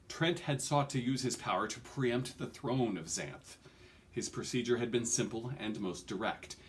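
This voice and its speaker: A man in his forties reading a fantasy novel aloud with dramatic emphasis and the odd stumble, recorded at a desk at home. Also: mid-range voice. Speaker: reader of an audiobook. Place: small furnished room at home, indoors.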